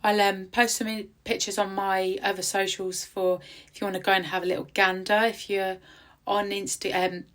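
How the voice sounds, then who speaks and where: Chatty woman with a croaky voice. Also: medium pitch, little pitch movement, moderate pace, fairly bright tone. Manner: chatty and rambling, with many ums. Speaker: tattoo artist. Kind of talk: casual vlog voice-over. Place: outdoors in quiet countryside.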